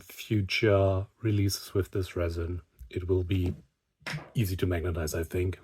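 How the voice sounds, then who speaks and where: A man giving an unscripted hobby product review, speaking haltingly with pauses in mid-sentence. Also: low voice, darkish tone.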